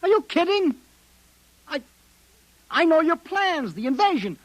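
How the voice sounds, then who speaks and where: A panicky, fast-talking private eye in a 1950s radio drama. His high, husky voice swings wildly in pitch as he stammers and blusters. The sound is close and dry. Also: no room sound, heavily acted. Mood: nervous bluster. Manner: nervous, stammering, excitable.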